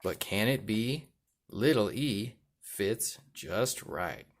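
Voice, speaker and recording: A man reading a children's picture book aloud, with rhythmic delivery and deliberate emphasis on the sound words. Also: fairly low pitch, lively intonation. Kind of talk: read-aloud children's storytelling.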